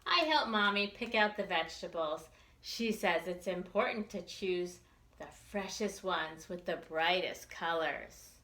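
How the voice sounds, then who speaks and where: A woman reading a picture book aloud for young children, expressively and with deliberate enunciation. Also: lowish voice, animated, sharp tone, reverberant. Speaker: children's librarian storytime reader.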